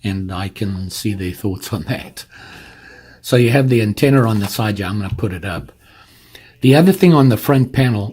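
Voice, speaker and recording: Husky, low-voiced man demonstrating gear. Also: darkish tone. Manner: informal, explains step by step while handling the device. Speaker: product reviewer. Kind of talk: casual hands-on product demonstration.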